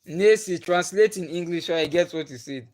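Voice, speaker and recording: A man's voice: high-pitched, moderate pace, darkish tone. A young Nigerian man, a native Igbo speaker, casually chatting with viewers on a livestream and asking them questions. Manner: chatty, laughs often, asks viewers questions. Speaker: livestream host. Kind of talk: casual chat with live viewers. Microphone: phone or webcam on a livestream.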